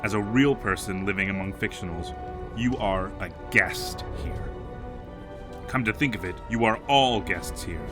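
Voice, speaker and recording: A man's voice: fairly low pitch, a little slow, natural voice. A man playing a proud, menacing vampire count in an audio drama, speaking in a formal, grandiose and imperious manner. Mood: scornful. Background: music.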